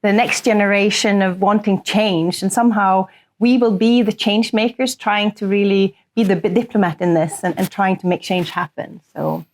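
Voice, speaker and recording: A woman's voice: medium pitch, steady tone, moderate pace. Earnest woman from northern Sweden. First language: Swedish. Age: 30s to early 40s. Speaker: corporate sustainability executive, interview guest. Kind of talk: conversational interview answers.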